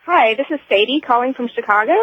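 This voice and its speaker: A woman's voice: a little high, fast, breathy edge, dry recording. An American woman calling a radio show, asking a question in a conversational way. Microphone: telephone. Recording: dull lo-fi phone call to radio.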